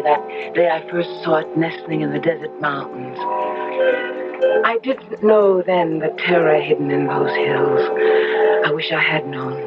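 A woman's voice: lowish voice, natural voice. A woman plays a young wife in a 1970s radio play, protective and tender yet full of dread. She speaks expressively and dramatically. The broadcast recording is dull and old, with prominent music.

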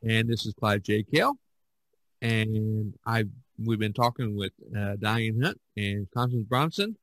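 A man hosting a podcast in casual chat, rambling and halting, often repeating his words. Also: lowish voice, fairly bright tone, no room sound, rough recording. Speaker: podcast host.

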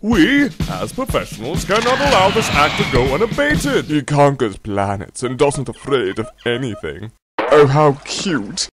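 A man's voice: mid-range voice, very animated, measured pace, over loud music. A man voicing a pompous, declamatory cartoon fighter in parody anime game voice lines. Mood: pompous, dry.

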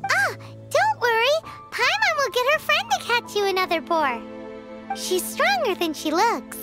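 A woman voicing a small, childlike fairy companion in an anime video game, excitable and cheeky, with squeaky, exclamatory delivery. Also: a little slow, exaggerated voice. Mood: confident, cheerful. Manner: exclamatory, exaggerated cartoon delivery. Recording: game music behind the voice.

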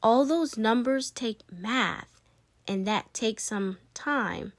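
Woman, children's read-aloud, slightly high pitch, dramatic emphasis, dry close sound. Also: animated, takes it slowly, no room sound. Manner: dramatic, emphatic storytelling with playful asides. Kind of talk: expressive children's picture book read-aloud.

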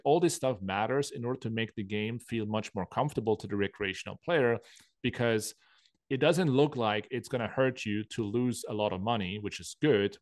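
A man's voice: fairly low pitch, dry recording, studio quality. A man, a guest on a podcast, giving direct, assertive advice in casual interview talk. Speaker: podcast guest, poker player.

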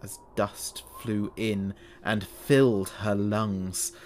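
A man narrating a scripted horror story in a measured, dramatic way. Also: lowish voice, little pitch movement, darkish tone, slight background noise.

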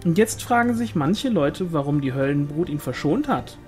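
Man voicing a high-pitched chatty village woman. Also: animated, normal speed, a little mellow, soft background music, natural voice. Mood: gossipy, eager. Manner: chatty, insistent. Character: gossipy village sister-in-law, eager and insistent woman. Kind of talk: audiobook character dialogue.

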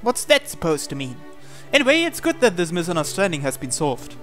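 A man reading visual novel dialogue aloud in character, with a hesitant, halting delivery. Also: fairly high pitch, big pitch swings, a bit bright. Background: game music.

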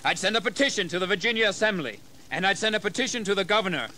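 An actor playing a young colonial rebel leader in an audio history drama, impassioned and declamatory. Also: male voice, high voice, animated, rapid-fire, pushed and bright. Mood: fiery, rousing.

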